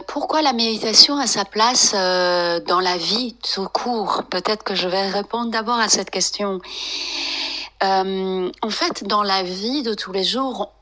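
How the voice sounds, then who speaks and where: Slow, hesitant woman with a bright voice. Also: medium pitch. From Iran, raised in France. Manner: slow, hesitant, many fillers. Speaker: author and meditation guest. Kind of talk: reflective interview answers.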